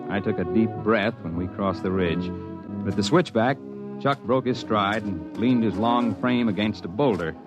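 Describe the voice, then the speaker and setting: An actor playing a steady, hearty mountain climber who tells his own tale in a 1950s radio drama. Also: fairly low pitch, moderate pace, music in the background, natural voice. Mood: measured, reflective. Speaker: narrator and character. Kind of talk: 1950s radio drama, first-person narration and dialogue. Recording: dull band-limited 1950s radio recording.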